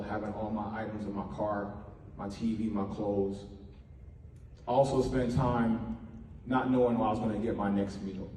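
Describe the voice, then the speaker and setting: A man's voice: lowish voice, warm tone, recorded from a distance, slight background noise. Man from Trinidad speaking in a roomy hall. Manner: earnest, emphatic, with repeated phrases. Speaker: speaker at a media workshop. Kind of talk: motivational talk to an audience.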